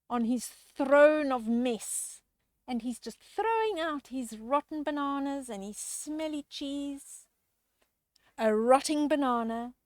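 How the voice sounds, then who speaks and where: Woman reading a kids story out loud, really slow n expressive, highish voice, chats to the little listeners. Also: no room sound. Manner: expressive, drawn-out emphasis, chatty questions to the listener. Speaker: children's storyteller. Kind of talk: picture book read-aloud with asides to child listeners.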